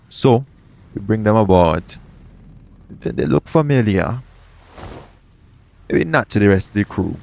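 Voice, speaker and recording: A man acting a shrewd, smug pirate captain in an audio roleplay, with a taunting, deliberate, persuasive way of talking. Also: sits low, darkish tone.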